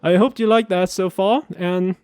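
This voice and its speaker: High, clear-voiced man chatting casually. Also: steady tone, moderate pace, a little mellow, no room sound, faint music behind. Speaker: video host. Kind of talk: casual language commentary.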